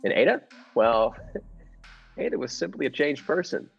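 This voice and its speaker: Guy acting as nervous henpecked husband telling his story radio-play style, bit husky, music behind him. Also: medium pitch, very animated, measured pace, highs cut off, heavily acted. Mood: pleased, hesitant. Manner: hesitant, earnest, formal storytelling. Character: meek, nervous henpecked husband confessing his murder scheme. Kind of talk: improvised-cast classic radio play narration.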